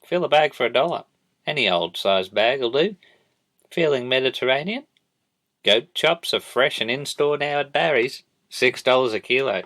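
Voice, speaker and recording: A quiet, low-key radio ad voice murmurs shop deals, as a man puts it on. The delivery stays soft and close. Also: animated, normal speed. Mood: hushed. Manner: low, hushed sales pitch.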